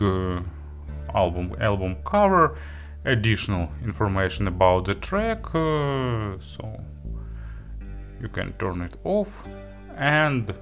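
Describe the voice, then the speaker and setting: A man presenting a product review, walking through features item by item in halting, non-native phrasing. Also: on the low side, a bit bright. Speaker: audio gear reviewer.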